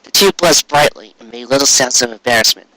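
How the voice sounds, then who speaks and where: A man's voice: mid-range voice, brisk, pushed and bright, low-quality recording. A man narrating a written story expressively, with earnest, dramatic phrasing. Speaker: story reader.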